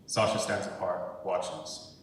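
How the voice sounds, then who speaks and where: A man's voice: level tone, takes it slowly. A man reading screenplay stage directions aloud as scripted narration, recorded from far off in an echoing hall. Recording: echoey hall, far from mic, rumbly noise.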